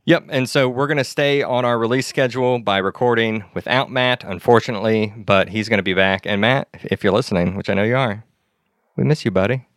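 A man hosting a tabletop game podcast as dungeon master, chatting easily and slowing into measured narration. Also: medium pitch, fairly bright tone, dry recording, studio quality. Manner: easygoing, measured, slows down when narrating. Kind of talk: casual chat and game narration.

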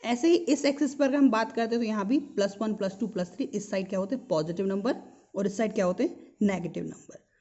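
A woman's voice: medium pitch, fast, mellow. A woman with an Indian accent teaching a physics lesson, explaining didactically, repeating key terms and asking rhetorical questions. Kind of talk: explanatory school lesson mixing Hindi and English. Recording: dull lo-fi sound.

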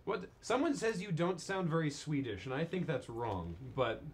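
A man's voice: fairly high pitch, sing-song, moderate pace, a little hiss or hum. Chatty, stammering man hosting a stream. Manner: chatty, stammering restarts, laughs easily. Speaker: charity stream host. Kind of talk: casual chat. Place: crowded studio room, indoors.

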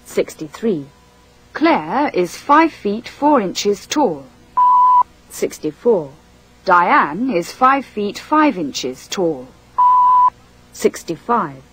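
Slow husky woman reading drills. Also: mid-range voice, big pitch swings, fairly bright tone. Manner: slow, deliberate, carefully enunciated. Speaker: language course reader. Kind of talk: scripted English drill.

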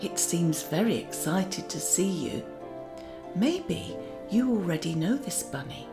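A woman playing a gentle, kindly magical garden auntie who leads a children's guided meditation in a soothing, often near-whispered voice. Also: on the low side, animated, slow. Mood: tender, wondering. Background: music.

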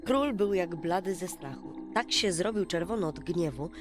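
A woman storyteller reading a bedtime fairy tale in an expressive, dramatic, folksy way. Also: lowish voice, moderate pace. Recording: music behind the voice. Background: music.

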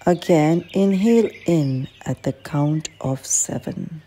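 A woman leading a guided meditation as an instructor, speaking in a calm, gentle, measured manner. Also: low voice. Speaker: clinical psychologist guiding a meditation. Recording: faint background noise with birdsong.

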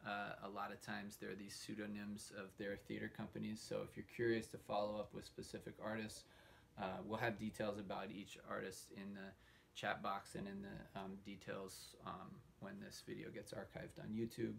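A man in his thirties giving an informal, halting introduction as host of a livestreamed show, pausing often mid-sentence. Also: lowish voice, monotone, gravelly. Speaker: host introducing a puppetry showcase. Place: indoor room hung with fabric backdrops. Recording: noisy room recording.

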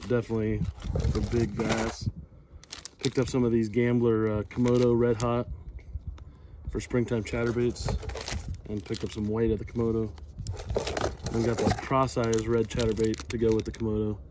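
Relaxed man unboxing outdoors. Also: mid-range voice. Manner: relaxed, rambling, many fillers. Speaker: fishing channel host. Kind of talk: casual unboxing vlog. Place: outdoors in a driveway by a boat. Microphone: phone camera at arm's length. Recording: outdoor recording with noticeable noise.

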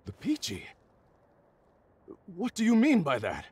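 An actor playing a proud, intense tank commander with formal military authority in a video game. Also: male voice, high voice, huge pitch swings, a little slow, exaggerated voice. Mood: indignant. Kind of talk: English video game cutscene acting.

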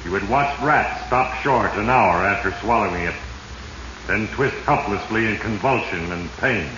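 Sinister male Fate, played by an actor: ominous, deliberate radio narrator, low register, reverberant vintage sound. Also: highs cut off, lightly acted. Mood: menacing. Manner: ominous, deliberate, addresses his victim directly. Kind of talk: 1940s radio drama narration. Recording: echoey, noisy 1940s radio recording.

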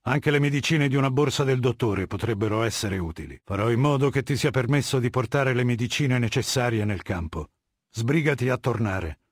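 A man acting as a middle-aged warlord who rules a legion in a video game. His voice is husky and mid-pitched, recorded dry and close. He speaks with cold, condescending command and an edge of menace. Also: expressive, a little fast, no room sound, natural voice. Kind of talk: video game dialogue, Italian dub.

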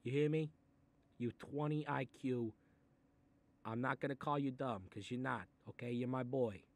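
A man playing a gruff, sarcastic Italian-American mob boss in a comic monologue, exasperated and muttering threats as he reads a picture book aloud. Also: mid-range voice, normal speed, darkish tone, natural voice. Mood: threatening, fond. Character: short-tempered, sarcastic Italian mob boss babysitting a kid. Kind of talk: comic improvised character monologue.

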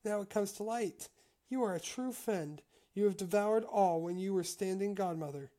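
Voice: medium pitch, lively intonation, moderate pace, darkish tone, pitched above the performer's own voice, close to an everyday voice. A reader voicing a small, trusting female mouse in a storybook tale, earnest, questioning and given to exclaiming. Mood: dismayed. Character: small, good-natured, trusting female mouse, easily troubled.

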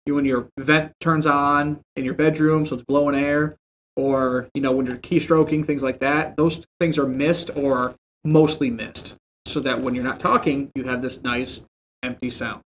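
A man's voice: mid-range voice, normal speed, slight background noise, rough recording. Young man talking through a mic tutorial. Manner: relaxed, rambling explanations with fillers. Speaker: streamer explaining mic settings. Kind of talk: casual screen-share tutorial. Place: small home streaming room, indoors. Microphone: desk mic about a foot and a half away, headset on.

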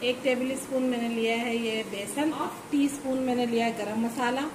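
A woman with a fairly high voice explains a home recipe step by step in a calm, instructive way, repeating key points as she works. Her voice is recorded in an echoey kitchen. Clear background noise runs underneath. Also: moderate pace. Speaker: cooking channel host. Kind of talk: home cooking demonstration.

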